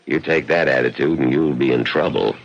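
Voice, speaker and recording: A man acting in a radio drama as a folksy, cautionary Western local, with a gravelly voice and plain-spoken, serious delivery. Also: a bass voice, a little fast, slight background noise. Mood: grave warning. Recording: muffled old radio recording.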